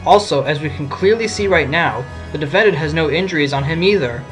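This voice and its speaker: A man's voice: a little high, brisk, music in the background, lightly acted. A man playing an assured, wry fox defense lawyer, explaining things in an audio drama. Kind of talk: amateur audio drama acting.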